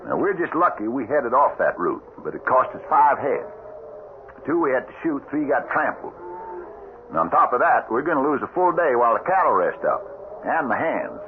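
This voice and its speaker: An actor playing a stern, commanding cattle-drive trail boss in a radio western, giving orders in folksy cowboy talk. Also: male voice, medium pitch, big pitch swings, moderate pace, natural voice. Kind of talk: 1970s radio western acting. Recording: muffled, noisy old radio drama recording.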